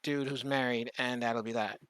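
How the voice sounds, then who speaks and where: A man hosting a podcast in casual conversation, speaking informally and a little haltingly. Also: medium pitch, steady tone, fairly bright tone, clear, resonant tone. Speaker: podcast host.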